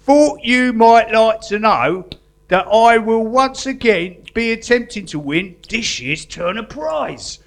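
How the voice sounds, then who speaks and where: A man's voice: high voice, lively intonation, takes it slowly, full character voice. A man acting an earnest, fretful guerilla artist prisoner in a live stage comedy, stammering and coaxing as he talks. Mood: pleased, eager. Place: live performance venue.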